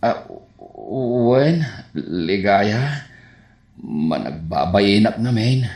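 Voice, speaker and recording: In an echoey radio comedy, a painfully shy young bachelor with an Ilocano accent. He talks slowly and haltingly, stammering and flustered, with a voice that swings up and down. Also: fairly low pitch, sing-song, a little mellow, full character voice. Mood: awkward, shy.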